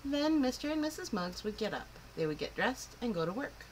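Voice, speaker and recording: A woman reading a picture book aloud to children in an expressive, clearly phrased storytelling style. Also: fairly high pitch, fairly quick, a little hiss or hum, poor audio. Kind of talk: animated children's story narration.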